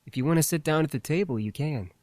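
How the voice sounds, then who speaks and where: An actor playing a gentle, reserved young male demon. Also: on the high side, quick pace, dry recording, close to an everyday voice. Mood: gentle, hesitant.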